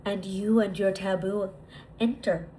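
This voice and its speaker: A woman leading a guided visualization, speaking to the listener in a deliberate, repetitive way with lingering pauses. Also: medium pitch, steady tone, dark tone, a little hiss or hum, poor audio. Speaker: guided meditation leader.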